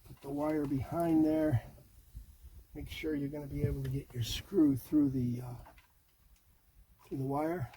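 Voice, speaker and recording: A man's voice: a little high, little pitch movement, unhurried, pauses often, warm tone, rough recording. A middle-aged man thinking aloud in a folksy, casual way as he narrates a hands-on how-to job inside a vehicle. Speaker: DIY car project host. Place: inside a vehicle cabin, doors open. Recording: clear background noise, handling clicks.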